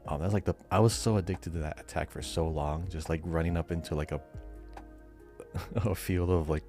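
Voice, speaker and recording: A man with a deep voice talks casually as a podcast co-host. His speech is informal and conversational, and soft music plays behind him. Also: steady tone, brisk. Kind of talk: casual chat about video games.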